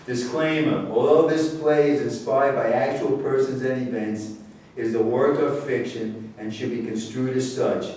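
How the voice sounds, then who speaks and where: A man's voice: medium pitch, fairly even intonation, moderate pace, darkish tone. A man narrating a staged play reading, giving scripted introductions and stage directions. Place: indoor room at staged reading. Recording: echoey room, far mic, faint noise.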